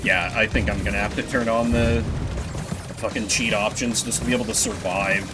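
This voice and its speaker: A man's voice: on the low side, fairly even intonation, brisk, heavy background noise. A man doing casual live gameplay commentary, rambling in a self-deprecating way with casual swearing. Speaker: video game streamer.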